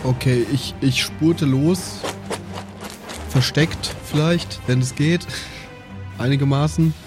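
A man's voice: mid-range voice, slow pace, over music. A man talking casually as a player in a tabletop role-playing game.

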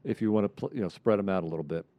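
A man on a sports roundtable giving casual, analytical commentary in a measured way. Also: low-pitched, no room sound, muffled recording. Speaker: sports panelist.

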